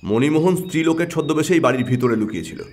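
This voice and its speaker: Man playing a shrewd, expressive detective. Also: fairly high pitch, fast talker, lightly acted. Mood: confident, explaining. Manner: assertive, explanatory. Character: shrewd, decisive male detective, self-assured and stern.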